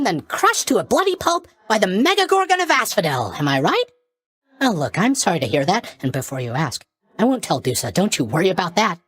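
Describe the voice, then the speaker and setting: Casting: very high-voiced, bouncy young sleep god for a game; folksy, rambling greeter, swooping intonation, tactless but sunny. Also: male voice, fairly bright tone, no echo, full character voice. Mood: encouraging. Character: cheerful, drowsy young male god of sleep, chatty underworld greeter. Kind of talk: animated video game character voice lines.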